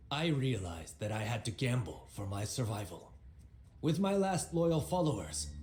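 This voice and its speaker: An actor playing an aging, proud conqueror who tells his life story in a measured, theatrical, wry way, as dramatic game narration. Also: mid-range voice, close to an everyday voice. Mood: grave. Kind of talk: dramatic scripted narration of a memoir. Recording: roomy voice over background music. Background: music.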